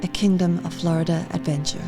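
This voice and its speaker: A woman's voice: on the low side, fairly even intonation, a little fast, over music. A woman announcer reading a scripted title introduction for an audio drama.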